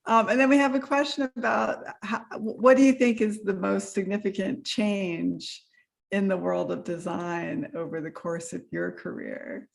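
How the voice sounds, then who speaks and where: A woman moderates a design talk, reading questions with a gravelly, fry-tinged voice. She is friendly and a little hesitant, pausing on fillers. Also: mid-range voice, fairly even intonation. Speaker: interviewer, moderator.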